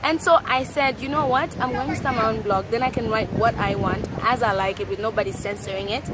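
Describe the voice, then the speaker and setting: A young woman from Zambia with a Zambian accent, a blogger and journalist introducing herself in an upbeat, quick way outdoors. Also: fairly high pitch, steady tone. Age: young adult. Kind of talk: self-introduction to camera. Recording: traffic noise outdoors.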